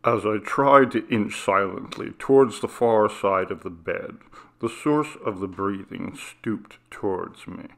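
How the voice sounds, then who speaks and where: A man narrating a classic ghost story in a measured, hushed reading style. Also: lowish voice, creaky. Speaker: audiobook narrator.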